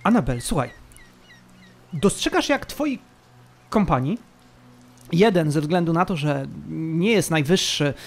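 A man narrating a tabletop fantasy game as game master, storytelling with dramatic, deliberate pauses. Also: high-pitched.

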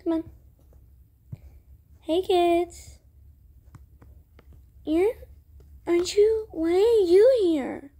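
A woman improvising character dialogue for a roleplay story video, with halting, hesitant delivery. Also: very high voice, warm tone. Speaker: video creator voicing all characters.

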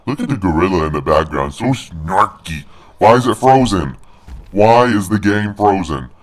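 A man's voice: low-pitched, expressive, normal speed. A man voicing a snarky, crude big monster brute while doing casual, jokey video game commentary. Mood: taunting.

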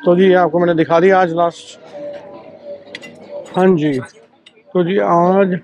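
A man's voice: high voice, steady tone, takes it slowly, a little mellow. A man chatting casually to viewers as a travel vlogger, often with a friendly "so, sir" filler, in a hotel breakfast area on a camera mic. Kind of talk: casual travel vlog chat. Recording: dull lo-fi sound with faint music.

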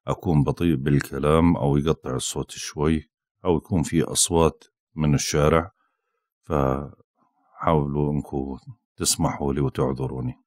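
A man giving a daily astrology forecast. He sounds tired and unwell, and he talks slowly and haltingly, with frequent drawn-out "uh" pauses. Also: extremely low pitch, darkish tone, no room sound, studio quality. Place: indoors, with possible street sounds outside.

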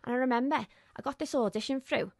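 A young woman, a voice actress guest telling lively stories with quoted voices in a radio interview. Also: high-pitched, dry recording, clean studio sound. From Merseyside, England. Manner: bubbly, fast storytelling with voiced quotes. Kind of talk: lively anecdotal chat. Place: radio studio. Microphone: studio mic.

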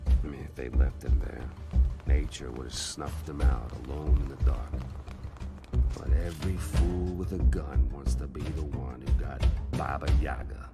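An actor playing a bitter, vengeful crime lord and grieving father in a video game, gravelly, brooding and menacing. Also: very deep voice, steady tone, measured pace, full character voice. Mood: cold, scornful. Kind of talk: dramatic video game acting. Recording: music behind the voice.

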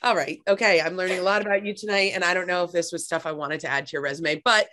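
A young woman on a video call from her living room, a podcast guest talking sports in a lively, playful, teasing way. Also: lowish voice, quick pace. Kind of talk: casual sports chat.

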